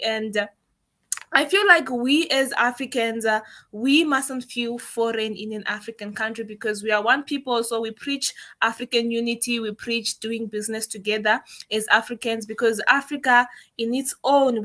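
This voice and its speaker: Bright-voiced Zimbabwean woman. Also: a little high. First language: Shona. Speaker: guest content creator. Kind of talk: livestream interview.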